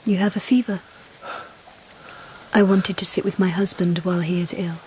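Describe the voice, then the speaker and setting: A woman plays an empress in a radio period drama. Her delivery is measured and formal, that of a composed, probing wife, with faint ambience behind her. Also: fairly low pitch, fairly even intonation, a little mellow, slight background noise, close to an everyday voice, poor audio. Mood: calm concern.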